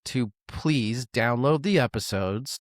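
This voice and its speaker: A man co-hosting a music podcast in relaxed chat, cracking sarcastic jokes and swearing casually. His voice sits at a middle pitch and is recorded close and dry, with no room sound around it. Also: slow pace, no room sound. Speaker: podcast co-host.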